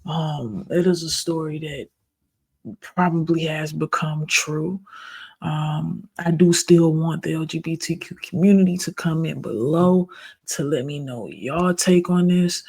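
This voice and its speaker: A soft-spoken reaction host who talks slowly and gently, chatting straight to the viewers. The voice is gravelly and a little husky. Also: mid-range voice. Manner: soft-spoken, calls it an ASMR voice; chatty, speaks directly to viewers. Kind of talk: casual reaction commentary.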